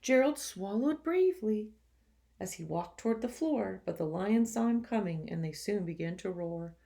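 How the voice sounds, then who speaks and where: A woman, a teacher, reading a picture book to children expressively, with gentle sympathetic asides. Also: medium pitch, animated, moderate pace, gravelly. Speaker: teacher reading a storybook to pupils.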